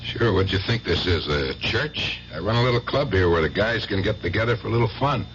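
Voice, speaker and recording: An actor playing a brusque, tough-talking but good-hearted middle-aged uncle who runs a gambling club, in radio drama style. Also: male voice, on the low side, expressive, fairly quick. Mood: gruff, easygoing. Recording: muffled radio drama recording, loud hiss.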